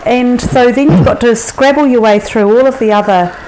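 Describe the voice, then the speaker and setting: A woman hosting an interview, chatty and encouraging, filling pauses with fillers and murmurs of agreement. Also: on the high side, background noise. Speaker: interviewer, host. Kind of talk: casual interview chat.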